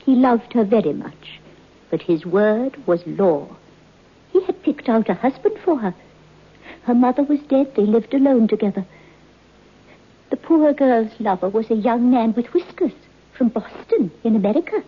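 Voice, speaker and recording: An actress playing a tiny, shrewd, knowing seamstress who tells old gossip as a deliberate, dramatic radio storyteller. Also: female voice, on the high side, animated, slightly rough. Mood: grave storytelling. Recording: tinny old radio recording.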